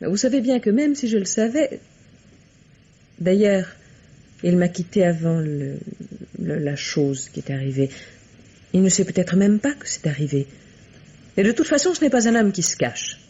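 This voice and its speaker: Evasive murder suspect, dark husky voice. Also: female voice, lowish voice, expressive, normal speed, long pauses. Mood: wary, protective. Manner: hesitant, pleading, evasive. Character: evasive, wounded young woman suspected of murdering her husband. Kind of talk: 1950s French radio mystery acting. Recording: muffled vintage radio drama sound.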